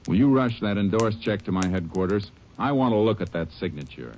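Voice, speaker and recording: An actor playing a steady Texas Ranger who narrates and questions suspects in a tersely measured way in a radio drama. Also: male voice, on the low side, heavy background noise. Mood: stern. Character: stern, steady male Texas Ranger lawman.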